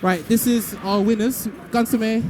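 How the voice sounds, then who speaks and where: A man's voice: sits high, fairly even intonation, background noise. A man hosting a live stream and interviewing players in a loose, casual way. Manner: rapid and loose, with many fillers and restarts.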